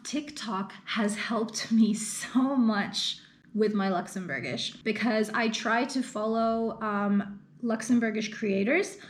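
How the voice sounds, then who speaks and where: Chatty woman answering viewer questions. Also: a little high. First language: English. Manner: earnest and chatty, with fillers and repeated phrases. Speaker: content creator. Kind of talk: casual Q&A vlog talk.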